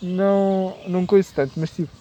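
A man's voice: high voice, steady tone, fairly quick, warm tone, muffled recording, slight background noise. A young man from Portugal, a university student, with a European Portuguese accent, rambling with fillers as a podcast guest. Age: young adult. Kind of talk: casual chat.

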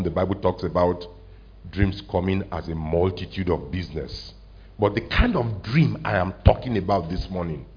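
A man, a pastor preaching a conversational sermon to his congregation, often asking them questions and slowing down to stress a point. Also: sits low, highs cut off. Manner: conversational, asks the congregation questions, slows for emphasis. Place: church hall with congregation.

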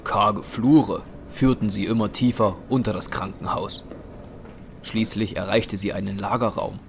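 Low-voiced male audio drama narrator. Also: fairly even intonation, measured pace, noisy background. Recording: music bed under narration.

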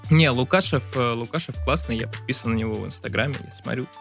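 A man's voice: medium pitch, fast talker, gravelly, a bit bright, soft background music. Male podcast co-host, laid-back chat with short reactions. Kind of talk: casual chat.

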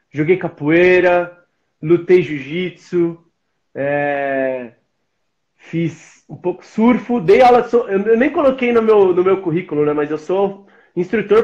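A man in his forties with a São Paulo Brazilian accent, talking in a laid-back, chummy, slang-heavy way. His voice sits a little high for a man. The sound is dull and lo-fi, like a video call. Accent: São Paulo Brazilian Portuguese. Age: 40s. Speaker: interview guest. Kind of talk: casual live-stream interview.

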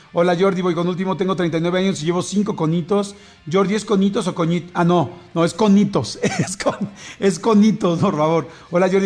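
Jokey high-voiced Mexican radio host. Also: male voice. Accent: Mexican Spanish. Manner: jokey, laughs often, rattles off messages fast. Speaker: radio show host reading listener messages. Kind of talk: casual morning radio banter.

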